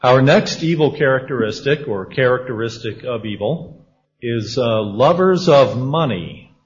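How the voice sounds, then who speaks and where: Slow, husky-voiced man leading discussion. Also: medium pitch, lively intonation, highs cut off. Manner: measured, calls on others by name. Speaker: discussion leader. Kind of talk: church panel discussion.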